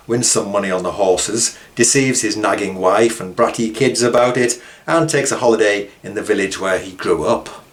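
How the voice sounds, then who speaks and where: A man presenting informal documentary commentary, mixing scripted points with conversational asides. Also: fairly low pitch. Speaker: author and presenter.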